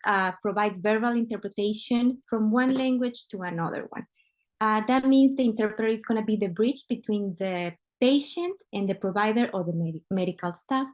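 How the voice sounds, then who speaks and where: A woman's voice: medium pitch, darkish tone. Guatemalan woman presenting on a webinar. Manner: frequent "uh" fillers, conversational. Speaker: guest speaker, medical interpreter. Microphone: computer mic on a video call.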